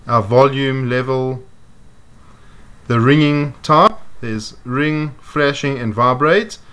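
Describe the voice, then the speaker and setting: A man giving a measured, step-by-step walkthrough of programming a device, voiced over close-up footage. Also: mid-range voice, fairly bright tone, smooth. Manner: measured, methodical explaining. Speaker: product demonstrator. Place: indoors at a table.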